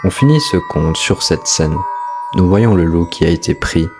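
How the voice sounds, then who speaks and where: Deep voiced guy reading a scripted horror story explainer, music clearly behind him. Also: expressive, moderate pace. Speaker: host, narrator.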